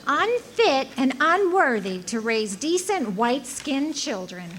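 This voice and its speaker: A woman's voice: high voice, very animated, fairly bright tone, full character voice. A woman playing a judgmental, gossiping frontier townswoman giving testimony in a radio drama, speaking with disdain. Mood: disdainful. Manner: judgmental, deliberate.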